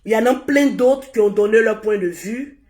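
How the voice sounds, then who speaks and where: A woman hosting a casual live stream, hoarse and husky from a cold, repeating herself as she urges viewers to share. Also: a little high, normal speed, darkish tone. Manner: repetitive, urging viewers to share. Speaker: livestream host.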